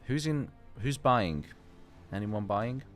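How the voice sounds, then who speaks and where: A man with a very low, deep voice talks loosely through a live trading session, dropping swear words and slipping into long explanations. Faint music plays behind him. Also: animated, takes it slowly, a bit bright. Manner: loose and chatty, swears freely; explains at length, teacher-like. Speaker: host of a live trading stream. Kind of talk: casual live market commentary.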